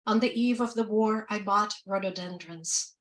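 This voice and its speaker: A woman from Ukraine reading her poems slowly and deliberately, with quicker, chattier asides between them. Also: mid-range voice, distant mic. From Ukraine, former Soviet Union. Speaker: poet and translator. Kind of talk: poetry reading with spoken asides.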